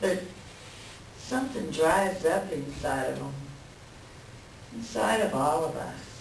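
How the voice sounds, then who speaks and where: A woman's voice: low-pitched, lively intonation, takes it slowly, gravelly, heavily acted. A woman playing a world-weary, blunt mother fed up with her husband in a folksy play reading. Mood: jaded, resigned. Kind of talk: staged play reading, conversational dialogue. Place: library reader's theater reading. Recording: echoey room from far off, faint noise.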